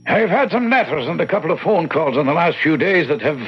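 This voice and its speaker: A man playing a concerned, earnest priest in an old-time radio drama, hesitant and gently probing, on a muffled recording. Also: on the high side, lively intonation, brisk, slightly rough. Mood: anxious.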